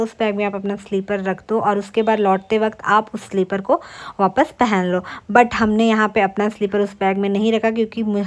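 A young woman narrating her travel vlog in a chatty, informative voice-over, speaking straight to her viewers. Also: mid-range voice. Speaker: travel vlogger.

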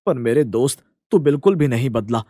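A man's voice: medium pitch, lively intonation, brisk, dark tone, no echo, clean studio sound, lightly acted. A man voicing a troubled, humble poor village father in a cartoon, speaking in an apologetic, weary manner. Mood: weary, resigned.

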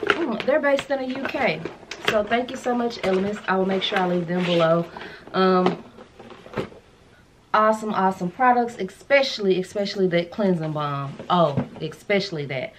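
An American woman in her thirties chatting casually to camera in a sassy, slangy, animated way, as a vlogger showing a haul. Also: medium pitch, moderate pace, noisy background. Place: furnished room at home, indoors.

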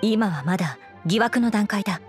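An actress playing an earnest, composed young woman knight commander in an anime game, speaking in a formal, measured, dutiful way. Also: medium pitch, animated, music in the background, lightly acted. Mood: serious.

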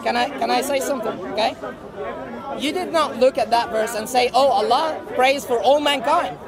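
A man with a fairly high voice explains his view earnestly and at length, English not his first language. Chatter from a crowd surrounds him in an open park. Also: moderate pace. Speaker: street debater. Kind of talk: outdoor debate. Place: outdoor park among a crowd.

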